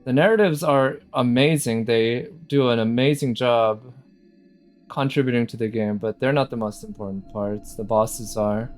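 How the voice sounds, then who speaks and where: A man giving casual, unhurried live commentary as a game streamer, musing aloud as he goes. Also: medium pitch. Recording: game music behind the voice. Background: game music.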